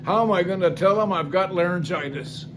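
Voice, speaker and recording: An older man tells a story from his own life in a loose, folksy way, stopping for plenty of ums and restarts. His voice sits high for a man. A steady hiss of noise runs under the recording. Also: fairly quick. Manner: rambling, folksy, many fillers and restarts. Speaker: singer telling life stories. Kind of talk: casual spoken testimony.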